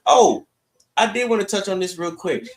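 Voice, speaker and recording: A man with a fairly high-pitched voice co-hosts a sports podcast. He argues his opinions casually but emphatically, often framing them as rhetorical questions. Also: normal speed.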